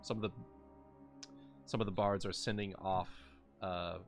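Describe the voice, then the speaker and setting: A man with a low, slow voice improvises narration as game master of a tabletop game. He halts often mid-phrase, and faint music plays behind him. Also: level tone. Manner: halting, frequently pausing mid-phrase.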